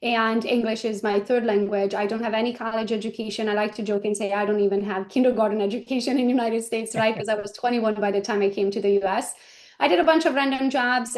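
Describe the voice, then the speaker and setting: Woman, lively and joking. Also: mid-range voice. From Romania. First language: Hungarian. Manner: lively, jokes about herself, tags "right?". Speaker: guest, real estate agent and coach. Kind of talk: casual interview talk.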